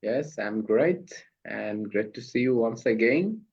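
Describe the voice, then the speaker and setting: Slow-talking Bangladeshi man hosting a call. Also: fairly low pitch, expressive, vocal fry, a little mellow, breathy edge. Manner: slow, halting non-native English with fillers. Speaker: host of online speaking practice meeting. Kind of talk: casual video call chat. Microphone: video call. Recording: dull lo-fi call audio.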